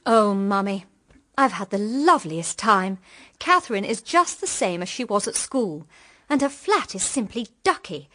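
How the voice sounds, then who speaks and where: A woman's voice: medium pitch, big pitch swings, moderate pace, sharp tone. An actress playing a naive, cheerful, sheltered young woman in a period radio drama, with a girlish, upper-class English manner. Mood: gushing. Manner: gushing, girlish.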